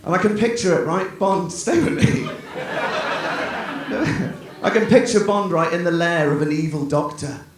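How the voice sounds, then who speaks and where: A man doing a stand-up set at a comedy night, talking in a chatty, self-deprecating way with quick asides. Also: fairly high pitch. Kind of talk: stand-up set, then casual chat. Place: comedy club stage. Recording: very echoey venue with background noise.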